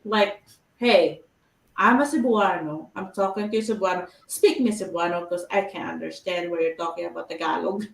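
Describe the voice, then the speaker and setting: A woman's voice: lowish voice, moderate pace, gravelly, reverberant, far from the mic, a little hiss or hum, rough recording. A woman from the Philippines with a Filipino accent, chatting casually in loose, broken English. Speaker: guest in a group chat. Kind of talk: casual chat.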